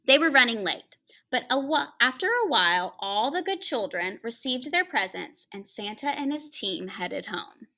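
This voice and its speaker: A woman reading a picture book aloud to children like a teacher, expressively, with dramatic pauses and hushed conversational asides to her listeners. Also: a little high, sing-song.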